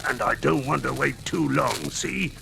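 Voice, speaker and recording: An actor playing a coarse, menacing blackmailer, surly and mocking, in 1940s radio drama style. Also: male voice, medium pitch, normal speed, vocal fry. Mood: sly menace. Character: coarse, menacing male blackmailer, sneering old pal. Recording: noisy old-time radio recording.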